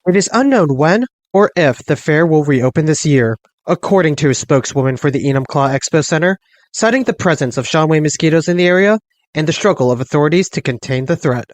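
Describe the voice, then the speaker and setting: An actor playing a male American radio newscaster, reading bulletins in a formal, measured announcer delivery. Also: fairly high pitch, lively intonation, dry recording, close to an everyday voice. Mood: grave, measured. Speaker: news anchor. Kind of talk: scripted radio news bulletin.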